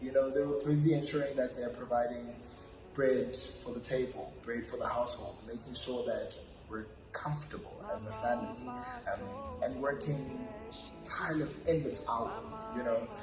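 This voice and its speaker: A man's voice: mid-range voice, steady tone, moderate pace, reverberant, over music, low-quality recording. A young man from South Africa, telling his life story in a reflective, casual interview with many fillers and restarts. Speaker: podcast guest.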